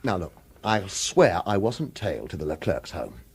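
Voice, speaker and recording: Husky, wily hired gun. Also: male voice, low voice, very animated, normal speed, full character voice. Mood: scheming, persuasive. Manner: wry, informal, urgent persuasion. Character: world-weary, wily hired killer in a tight spot. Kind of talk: radio thriller acting.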